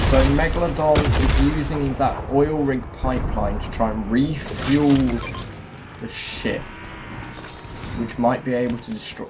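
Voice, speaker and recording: A man presenting a video game walkthrough, explaining things step by step to viewers in a chatty way. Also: medium pitch. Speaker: gaming YouTuber presenting a quest guide. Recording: game sound clearly behind voice. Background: video game ambience and vehicle noise.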